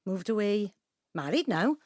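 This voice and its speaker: An actress playing a spirited, teasing but guarded middle-aged daughter with a Welsh accent in a naturalistic audio drama. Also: female voice, medium pitch, big pitch swings, unhurried, a little mellow, no room sound, clean studio sound.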